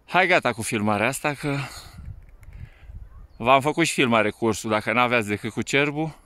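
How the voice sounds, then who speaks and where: A man's voice: mid-range voice, bright, forceful tone, clear, resonant tone, no echo. A man working as a ski instructor, talking casually and playfully, teasing and coaxing as he calls out on a slope. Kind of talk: casual phone-video chat while skiing. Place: outdoors on a snowy ski slope. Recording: windy outdoor phone recording, wind noise.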